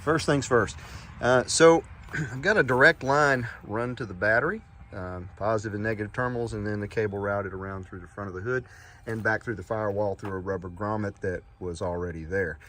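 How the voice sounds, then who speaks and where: A man with a fairly low voice narrates an informal walkaround for a hobby video. He speaks haltingly and often clears his throat. It is recorded on a handheld phone around a parked vehicle, with faint background noise. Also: fairly even intonation, a bit bright. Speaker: ham radio hobbyist presenting. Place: around and inside a parked truck, outdoors. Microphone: handheld iPhone.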